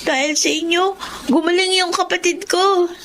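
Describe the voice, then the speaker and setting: A very high, childlike voice of an orphaned street girl in a Filipino radio drama. She is timid and deferential, grateful to her elders. There is faint noise behind her. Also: steady tone, normal speed, a bit bright. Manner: deferential, polite.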